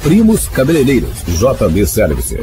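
A man reading sponsor announcements in a booming, promotional radio style. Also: wildly swooping pitch, a little slow. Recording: announcer voice over music.

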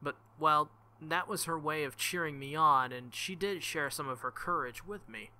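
A young man reading a visual novel aloud and commenting, animated and thinking out loud. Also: fairly high pitch, moderate pace, clean tone. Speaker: let's play host.

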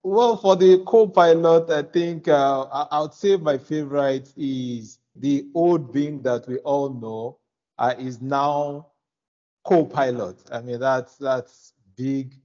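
Mellow-toned man hosting tech chat, slightly dull. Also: medium pitch, slow pace. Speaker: tech show host. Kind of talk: casual tech discussion. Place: small office, video call. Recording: slightly dull video-call audio.

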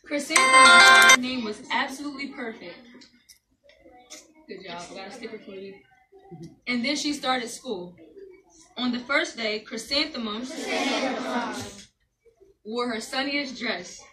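A woman's voice: on the high side, unhurried, long pauses, over loud music. A woman reading a picture book aloud to a class of children, stopping to prompt them with questions. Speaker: guest reader at a school. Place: large classroom full of seated children. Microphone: phone from back of room. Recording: distant phone recording, roomy, noisy children. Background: loud children's chatter and clicks.

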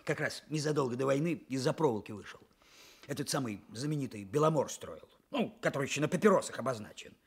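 A man's voice: medium pitch, animated, fast talker, husky. An actor playing a cheeky young village man in a radio play, teasing and mocking with folksy banter. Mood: jovial, boastful. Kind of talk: Soviet radio play acting.

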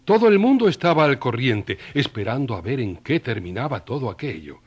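A man's voice: mid-range voice, big pitch swings, a little fast, muffled recording, a little hiss or hum. A man narrating a scripted story in a colloquial, storytelling style.

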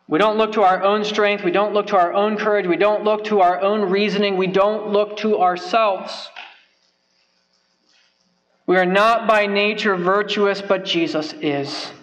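A young man with a fairly high voice delivers a sermon from a wooden pulpit, earnest and emphatic, hammering points home through repetition. The pulpit mic recording sounds a little dull and lo-fi. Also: fairly bright tone. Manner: earnest, emphatic, repeats phrases for stress. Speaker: preacher. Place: church sanctuary, at a wooden pulpit. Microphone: pulpit microphone.

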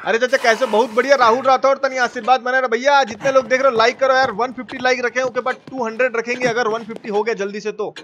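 High-pitched man streaming with an eastern Hindi accent. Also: flat delivery, quick pace, hardly any pauses, a bit bright, a little hiss or hum. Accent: eastern Hindi belt (Bihar and Purvanchal). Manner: playful, colloquial, exclaiming, repeats phrases. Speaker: live game streamer. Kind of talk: casual live gaming commentary, thanking donors. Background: video game music.

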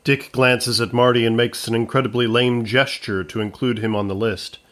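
A man narrating a scripted comedy in a measured, matter-of-fact way. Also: medium pitch, steady tone, fairly bright tone.

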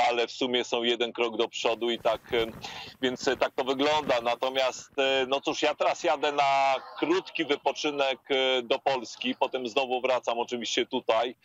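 A man in his fifties reports live from outside on a street, full of fillers and pauses. His voice comes through a video-call line that sounds dull and lo-fi, with a mid-pitched tone. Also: a bit bright. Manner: hesitant, many fillers and pauses. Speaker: war correspondent. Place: outdoors on a residential street with trees. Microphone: earphone headset on a video link.

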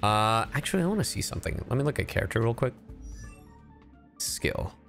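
A man from Ecuador streaming a game, playful and animated, switching between English and Spanish. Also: medium pitch, a little fast, creaky, soft background music. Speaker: streamer and voice actor. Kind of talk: casual live game stream.

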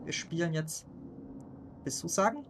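A man's voice: on the high side, very animated, a little slow, background noise. A German man hosting a gaming video, chatting casually and laughing often while he plays. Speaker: gaming channel host. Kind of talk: casual gameplay chat.

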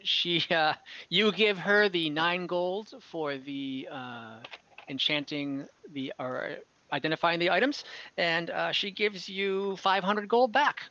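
Game master, young man, bright and a bit high, laid-back and rambling, dull call audio. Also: slow. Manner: relaxed, rambling, many fillers. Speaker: dungeon master. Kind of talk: running an online tabletop game. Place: home room.

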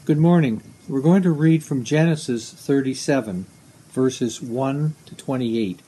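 A man in his seventies reading scripture aloud in a measured way, recorded outdoors on a device at arm's length. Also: mid-range voice, mellow, husky, low-quality recording. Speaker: lay scripture reader. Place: outdoors beside a brick house under trees.

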